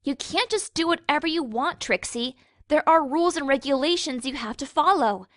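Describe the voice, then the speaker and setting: A woman's voice: high-pitched, lively intonation, moderate pace, no echo, studio quality. A woman voicing a sensible young female pony who reasons wearily and corrects others in a dramatic story reading. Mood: exasperated. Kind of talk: dramatic fan fiction reading.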